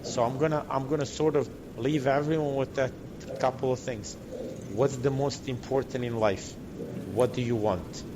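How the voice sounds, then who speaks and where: A man's voice: mid-range voice, steady tone, normal speed. A man from Montenegro, a restaurant owner, speaking as a podcast guest in English, effusive and thankful. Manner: warm, grateful, effusive. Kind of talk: casual interview talk. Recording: audible background noise.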